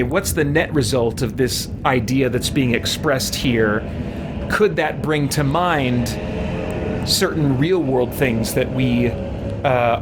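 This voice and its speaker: A man's voice: mid-range voice, background noise. A man hosting a gaming channel, giving casual, reflective commentary in a thoughtful, hedging, discursive way. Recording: loud music behind the voice. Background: loud game music.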